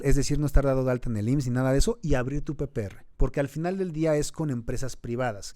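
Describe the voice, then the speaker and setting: A Mexican man in his thirties with a mellow, dark voice, explaining money matters in an earnest, advising tone. He pushes up to emphatic points now and then. The sound is dry and close. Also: mid-range voice, no echo. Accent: Mexican Spanish. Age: 30s. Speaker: financial advisor, host. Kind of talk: explanatory interview talk.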